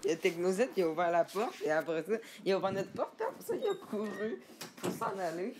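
A man's voice: sits high, very animated, a little fast. A man hosting a casual gameplay chat, stammering and repeating filler words in long runs. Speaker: gaming channel host. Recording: background noise.

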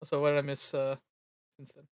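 A woman's voice: rumbling low, completely flat delivery, brisk, a little mellow, no room sound. Dry close-sounding woman, tentative talker. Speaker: stream co-host. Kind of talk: casual livestream chat.

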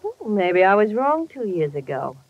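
An actress playing a proud, wounded former sweetheart with dramatic, defensive delivery in a 1950s radio drama. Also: female voice, medium pitch, sing-song, normal speed, no room sound. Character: proud, wounded old flame of a detective. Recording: muffled old radio recording.

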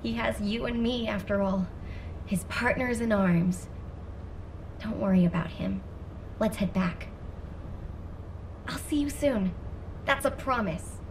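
A woman's voice: medium pitch, takes it slowly, pauses often, recorded from a distance, a little hiss or hum. A woman playing a kind, confident young female soldier in a video game, caring toward her teammates. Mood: reassuring.

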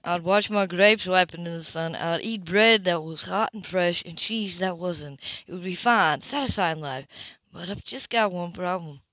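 Woman reading as a wry man, pitched down. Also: normal speed, a bit bright, no echo, lo-fi sound, close to an everyday voice. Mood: playful. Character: wry, charming man from a small town.

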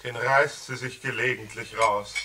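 A man's voice: medium pitch, steady tone, a little slow, close to an everyday voice. A man playing a teasing, blustery factory director and father in a 1960s German radio play. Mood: grumbling. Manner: blustery, good-humoured, teasing. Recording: distant, faintly noisy old vinyl record.

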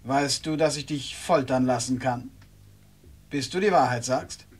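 An actor playing a cold, commanding interrogator who threatens, in a German radio play. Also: male voice, mid-range voice, measured pace, slightly rough. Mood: cold, probing. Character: menacing male Tatar commander, cold interrogator.

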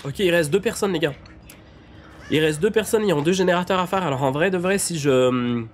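A young man streaming a game live, chatting to his viewers, a little nasal from a cold, repeating himself when excited. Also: on the high side, fairly quick, slight background noise. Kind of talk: live gameplay commentary.

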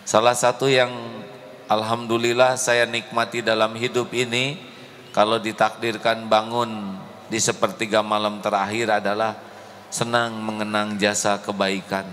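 A middle-aged man gives a mosque sermon at an unhurried, measured pace, leaning into emotional passages for the gathered congregation. His voice carries long reverb from a large hall over a faint hum of ambience. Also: fairly low pitch, level tone, a bit bright. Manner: slow, deliberate, emotive, addresses the congregation. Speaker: preacher. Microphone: handheld microphone.

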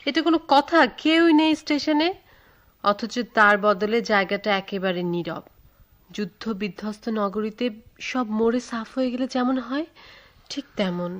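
A woman's voice: fairly high pitch, expressive, moderate pace, fairly bright tone. A woman with a Bangladeshi accent acting an anxious, devoted young runaway lover in a radio drama, earnest and pleading. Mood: doubtful, uneasy. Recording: dull lo-fi radio recording.

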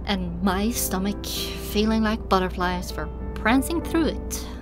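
A woman's voice: mid-range voice, takes it slowly. A woman narrating a scripted first-person story as an audiobook reader. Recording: music behind the voice.

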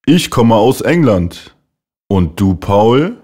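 Guy reading phrases real slow for learners to repeat, pitch going up and down a lot. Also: sing-song, warm tone. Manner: slow, clearly enunciated model phrases for repeating. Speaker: German language teacher voice.

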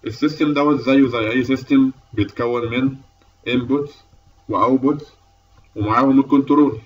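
A man's voice: medium pitch, fairly even intonation, normal speed, far from the mic, highs cut off. A man with an Egyptian Arabic accent giving a methodical, explanatory lecture as an instructor, step by step. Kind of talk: explanatory lecture over slides.

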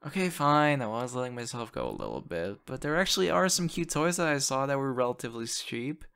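A man playing an excitable, sappy young boyfriend in an audio roleplay, talking in a gushing, hesitant, stammering way into a close mic. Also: mid-range voice, a little fast, gravelly, clear, resonant tone. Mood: excited, flustered. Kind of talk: ASMR audio roleplay, conversational acting. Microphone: close condenser microphone.

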